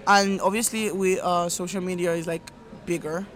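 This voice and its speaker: A woman's voice: on the low side, steady tone, normal speed, slight background noise. Young woman hosting a podcast outdoors. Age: 20s. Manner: hesitant, lots of fillers and restarts. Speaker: podcast host. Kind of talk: casual podcast chat. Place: outdoors in a park.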